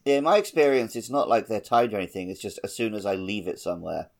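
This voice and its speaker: A man's voice: lowish voice, fast, gravelly. A man co-hosting a gaming podcast in casual conversation. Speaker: podcast co-host.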